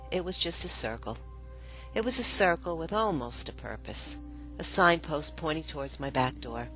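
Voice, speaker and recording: Female narrator, low register, scripted memoir storytelling, deliberate dramatic phrasing, light music bed underneath. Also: a bit bright.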